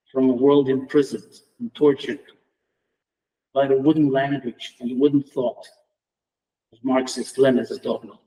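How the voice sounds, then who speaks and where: A middle-aged man from Romania with a Romanian accent, a native Romanian speaker giving a reflective memorial tribute as an English department lecturer, measured and halting with restarts. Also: a little high, warm tone, recorded from a distance, poor audio. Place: lecture room at a memorial round table.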